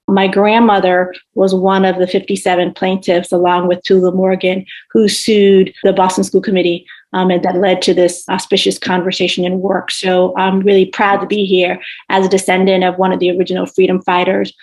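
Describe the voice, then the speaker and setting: A woman's voice: mid-range voice, darkish tone. A woman in her forties, a historian speaking on a panel in an explanatory style. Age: 40s. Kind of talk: prepared, explanatory panel remarks.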